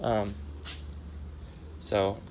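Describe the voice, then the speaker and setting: A man's voice: lowish voice, little pitch movement, very slow. A young man with a stuffy, nasal voice talks into his camera from the car. He rambles casually and keeps correcting himself mid-sentence. Road and engine noise hum steadily behind him. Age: young adult. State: sick, nasal. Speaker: vlogger. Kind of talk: casual vlog while driving.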